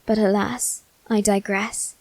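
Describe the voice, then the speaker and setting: A woman plays a proud, self-loathing young nobleman, a boy with a medium-pitched voice in an ASMR character drama. He speaks slowly and haltingly, with formal, theatrical phrasing. The sound is dry and free of room echo. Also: flat delivery. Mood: wistful, tender. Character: proud, wounded, self-loathing aristocratic boy facing his demon.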